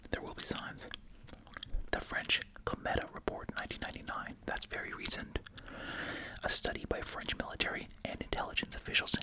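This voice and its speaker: An ASMR creator speaks entirely in a hushed, breathy whisper. The delivery moves between reading aloud and casual, musing asides, often repeating short phrases. Gum is being chewed throughout the talk. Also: a little fast, poor audio. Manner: chewing gum while talking.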